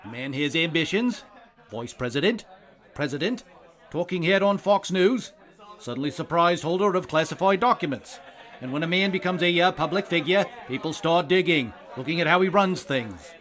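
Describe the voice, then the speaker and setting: A man's voice: fairly high pitch, expressive, moderate pace, fairly bright tone. An actor playing a pompous, self-serving government secretary who peppers his smug talk with hesitations, in an audio comedy drama. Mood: veiled threat.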